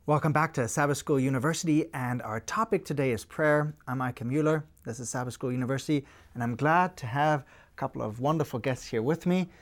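A man hosting a religious discussion show, chatty and relaxed, sharing a childhood story with a laugh. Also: medium pitch, fairly quick, soft background music. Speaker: host. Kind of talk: casual talk show hosting.

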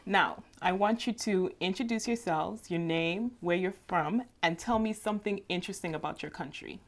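A woman's voice: lowish voice, moderate pace. A woman hosting a casual panel discussion, friendly and upbeat, with short encouraging replies. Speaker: host.

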